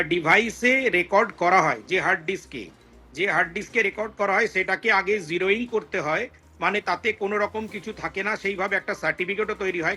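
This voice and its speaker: Older man, bright high-pitched expert voice. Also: husky. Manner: hesitant, repeats phrases, mixes in English terms. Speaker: retired investigator, expert panel guest. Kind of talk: explanatory expert commentary on TV news. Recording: faint music under the voice.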